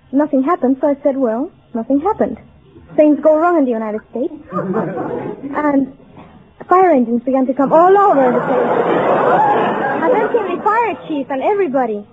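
A young woman in her early twenties with a Spanish accent answers in a high, light voice, often breaking into giggles. The old broadcast sound is tinny and phone-like, with audience laughter and hiss behind. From Guatemala. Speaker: quiz contestant, student. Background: studio audience laughter.

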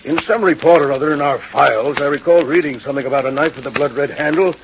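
Actor as formal, clipped British police inspector. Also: male voice, a little high, fast, natural voice. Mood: musing. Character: formal, pensive Scotland Yard police inspector. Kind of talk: old-time radio drama acting. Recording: muffled, noisy old radio recording.

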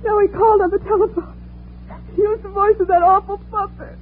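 A woman's voice: fairly low pitch, normal speed, slight background noise, close to an everyday voice. Woman playing a frightened wife, tinny old radio. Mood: panicked. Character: frightened, anguished wife fleeing her murderous husband. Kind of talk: 1940s radio acting, dramatic narration and dialogue.

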